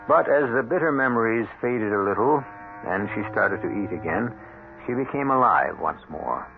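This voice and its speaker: A man hosting and narrating an old radio drama in a deliberate, ominous, literary storytelling style. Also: on the low side, gravelly. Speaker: host and narrator. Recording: muffled old radio broadcast. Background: music.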